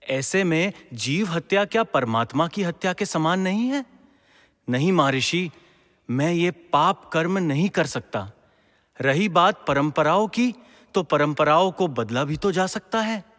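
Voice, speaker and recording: A man's voice: a little high, fairly bright tone. A man playing a devout, principled ancient king in a mythological audio drama, speaking in a formal, declamatory way. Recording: long echoing hall reverb.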